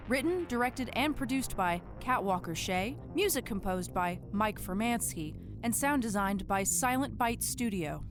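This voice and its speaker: A woman reading scripted closing credits as a podcast announcer. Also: mid-range voice.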